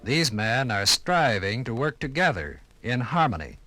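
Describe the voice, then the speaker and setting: A man narrating and explaining as a guide in a scripted 1950s radio program, with deliberate phrasing. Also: medium pitch, sing-song, pushed and bright, no room sound, soft background music.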